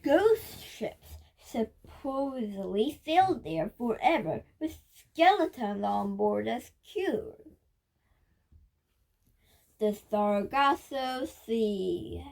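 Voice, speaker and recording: A female child reads a book aloud in a high voice. She takes it slowly and carefully, word by word, with the odd halting stumble along the way. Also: lively intonation, creaky, a little mellow. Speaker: reader of a children's nonfiction book.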